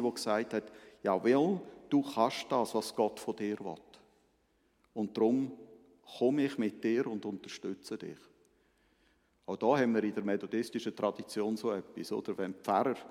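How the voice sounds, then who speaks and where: Male preacher, conversational sermon, explanatory manner, medium pitch, long hall reverb. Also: darkish tone. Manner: explanatory, with rhetorical tag questions. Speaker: Methodist preacher.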